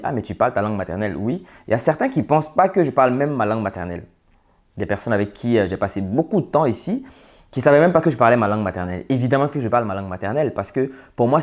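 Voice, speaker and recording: A man from Côte d'Ivoire, giving an earnest, advising monologue to his viewers, with hesitant fillers. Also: lowish voice. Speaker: vlogger. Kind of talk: opinion monologue to camera.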